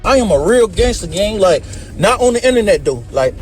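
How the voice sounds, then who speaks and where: A man's voice: sits high, sing-song, brisk, soft background music. A man lecturing someone in heated, confrontational street talk.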